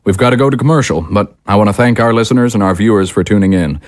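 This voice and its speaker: A man plays a pompous political broadcaster in an audio drama, warning of doom. The voice is very low and grave, with emphatic phrasing, recorded close and dry. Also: fast talker, no room sound, natural voice. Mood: solemn, grateful. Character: alarmist, self-important male political talk-show host.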